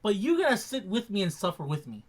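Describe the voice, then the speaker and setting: A gaming livestream co-host chatting casually, haltingly and with repeated words. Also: medium pitch, sing-song, husky. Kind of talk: casual chat.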